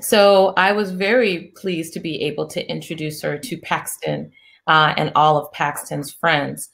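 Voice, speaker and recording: A middle-aged woman hosting an interview, talking in a soft, soothing way with many restarts. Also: deep voice, fairly even intonation, measured pace, vocal fry. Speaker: interview host, psychiatrist. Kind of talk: casual interview chat.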